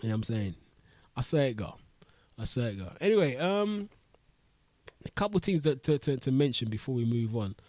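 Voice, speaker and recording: A man hosting a community radio talk show from a dry, close studio mic, his nose audibly blocked up. He rambles in loose street slang, mid-pitched and relaxed. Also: fairly quick, long pauses, no room sound. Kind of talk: casual radio chat.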